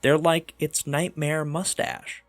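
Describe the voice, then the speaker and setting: A man's voice: on the high side, little pitch movement, takes it slowly. A man giving a theatrical, tongue-in-cheek voice-over narration with comic asides, as the host of an art video. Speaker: video host, 3D monster artist. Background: background music.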